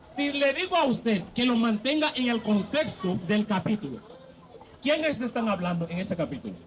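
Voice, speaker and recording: A man's voice: very high voice, sing-song, normal speed, low-quality recording. A man leading a street Bible debate as a preacher, insistently quizzing and cutting in with questions.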